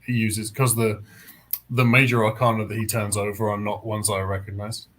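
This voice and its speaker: A man's voice: fairly low pitch, level tone, normal speed, fairly bright tone, slight background noise. A man hosting a book readalong, talking casually about novels in a group discussion. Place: indoors, online group call.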